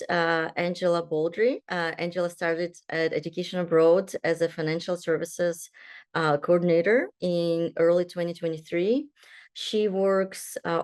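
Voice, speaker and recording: Kazakh-born woman moderating a zoom panel, lowish voice, lots of uhs and restarts. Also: a little mellow. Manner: halting, many fillers and restarts. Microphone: video call.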